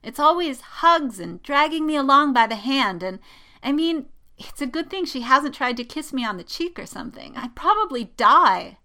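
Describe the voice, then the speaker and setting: A woman's voice: mid-range voice, expressive, a little slow, pushed and bright. A woman voicing an anxious, lovestruck young female pilot in a story, sighing and whining in exasperation. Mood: exasperated. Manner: sighing, lamenting, whining, easily flustered. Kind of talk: dramatic fan fiction reading.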